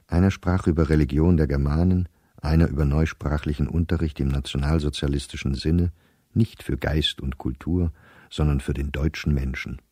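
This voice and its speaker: Really deep voiced middle-aged professor reading his diary, weary n bitter, kinda husky, radio play. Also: steady tone, mellow, dry recording, clean studio sound. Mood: grim, resigned. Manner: measured, weary, dropping to near-whisper at grim thoughts. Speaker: diarist narrator.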